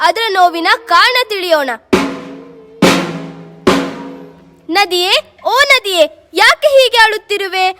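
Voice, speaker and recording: A woman's voice: extremely high pitch, long reverb tail. A woman voicing a caring mother bird. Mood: concerned. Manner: gentle, storytelling. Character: caring mother bird leading her young. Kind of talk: amateur radio play.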